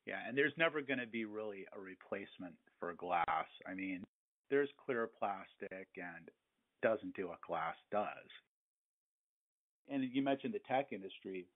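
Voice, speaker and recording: A man's voice: fairly low pitch, lively intonation, a little slow. A man chatting conversationally as an interviewer with trade experience, recorded over a telephone. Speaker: interviewer with experience as a glass installer. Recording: thin, narrow phone line.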